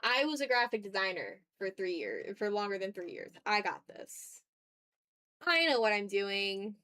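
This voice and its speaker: A woman's voice: mid-range voice, sing-song, moderate pace, bright, forceful tone, clear, resonant tone. A woman livestreaming as she draws, chatting casually with viewers and muttering to herself as she talks through each step. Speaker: art streamer. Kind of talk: casual livestream chat while drawing.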